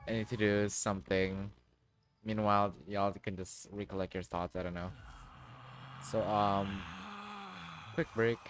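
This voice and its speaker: A man streaming a game, talking loudly and offhandedly to his chat. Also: lowish voice, flat delivery, unhurried, pauses often, slight background noise. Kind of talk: casual livestream chat.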